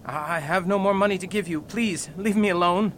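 A man playing a high-voiced cornered rich man. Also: moderate pace, a bit bright, a little hiss or hum. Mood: anxious. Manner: anxious and pleading. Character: wealthy, cornered young male gentleman. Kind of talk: amateur radio drama acting.

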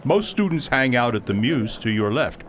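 A man playing a polite, literal-minded mentor guide in an audio drama, speaking in a formal, measured and precise way. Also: medium pitch, sing-song, close to an everyday voice. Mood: courteous, unruffled. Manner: formal, measured, precise phrasing with few contractions.

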